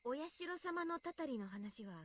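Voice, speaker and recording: Guarded teenage girl, quick speech. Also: high voice, animated. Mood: hesitant, somber. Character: teenage village girl, guarded, hesitant, serious friend. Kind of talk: Japanese anime visual novel acting.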